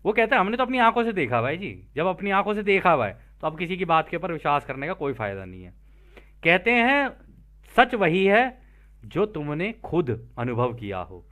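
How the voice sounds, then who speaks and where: Man, Indian accent, higher-pitched voice, strongly varied intonation, informal spiritual commentary, conversational, repeats and rephrases. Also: big pitch swings, normal speed, fairly bright tone. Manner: conversational, addresses listeners as friends, repeats and rephrases points. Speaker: presenter explaining a translated spiritual text. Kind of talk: informal spiritual commentary, reading passages aloud and explaining them.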